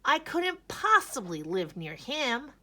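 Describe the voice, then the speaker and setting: A woman's voice: fairly high pitch, sing-song, takes it slowly, sharp tone, voice pushed up, full character voice. A woman voicing a fussy, restless little female bunny in a storybook, with plaintive, emphatic delivery.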